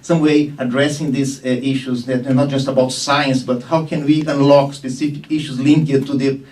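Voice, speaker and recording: A man giving a formal technical presentation at a conference meeting, speaking in a measured, halting way. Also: medium pitch, level tone, darkish tone. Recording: distant room microphone.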